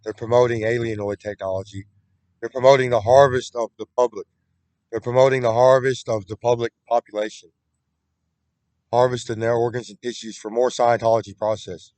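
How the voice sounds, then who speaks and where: A man's voice: medium pitch, fairly even intonation, fairly quick, a little mellow, no room sound. A man talking in a rambling, repetitive solo monologue, with frequent restarts, recorded on a computer webcam.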